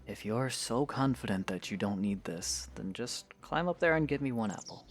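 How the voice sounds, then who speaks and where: A man playing a calm, stoic young swordsman in an audio drama, patient but firm, with measured, plain speech. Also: medium pitch, slight background noise, close to an everyday voice. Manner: measured, plain-spoken, understated. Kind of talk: scripted audio drama acting.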